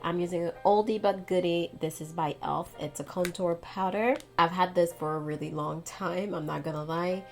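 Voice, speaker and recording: A woman's voice: on the low side, fairly even intonation, moderate pace, few pauses, darkish tone, faint music behind. A woman giving a casual makeup tutorial in a chatty, playful, slangy way. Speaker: beauty vlogger.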